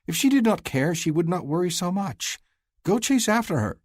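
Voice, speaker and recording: A man's voice: high voice, very animated, dry recording, studio quality, voice pushed up. A man voicing a bossy, teasing young woman who lectures her brother. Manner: lecturing, brisk.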